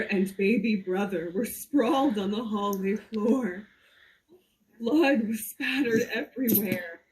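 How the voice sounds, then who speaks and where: A woman reads a horror story aloud theatrically, drawing out suspense with deliberate pauses. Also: medium pitch, a little mellow, rough recording. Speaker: performer reading a story aloud. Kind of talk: slow dramatic reading of a horror story.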